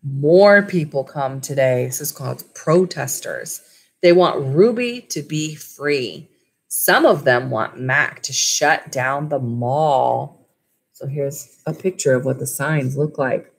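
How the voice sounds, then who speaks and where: A woman's voice: a bass voice, takes it slowly, vocal fry, slightly rough. A woman in her thirties, a school library teacher, reading a storybook aloud and talking informally to children. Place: small indoor room at home with wood-panelled walls. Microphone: webcam or laptop mic at arm's length.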